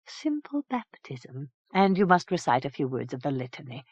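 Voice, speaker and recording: An audiobook narrator voicing a sly, scheming priest who talks in a smooth, ingratiating way. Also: mid-range voice, sing-song, normal speed, no room sound, lo-fi sound. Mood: unctuous. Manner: smooth, ingratiating, bleating when alarmed.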